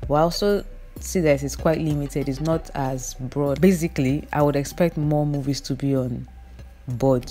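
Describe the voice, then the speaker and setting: A woman's voice: very deep voice, dark tone. A young woman chatting casually into her phone camera from an airplane seat, reviewing her flight. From Nigeria. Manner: chatty, conversational, self-correcting. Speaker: travel vlogger. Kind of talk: casual vlog commentary. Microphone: phone camera held close.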